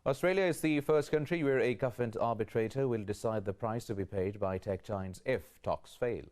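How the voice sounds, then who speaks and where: A man anchoring a news bulletin, reading headlines in a steady, formal way. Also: on the low side, moderate pace.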